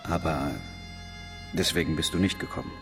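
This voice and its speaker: An actor playing a gentle, thoughtful man who listens patiently, speaking haltingly in a naturalistic radio drama. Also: a bass voice, faint music behind. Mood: soothing.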